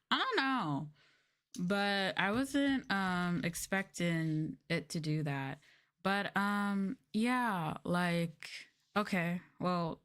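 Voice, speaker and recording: A woman livestreamer chatting casually and informally to her viewers, rambling along with lots of "like". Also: on the low side. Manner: informal, rambling, uses "like" and "y'all". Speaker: VTuber livestreamer. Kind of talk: casual soft-spoken livestream chat to viewers.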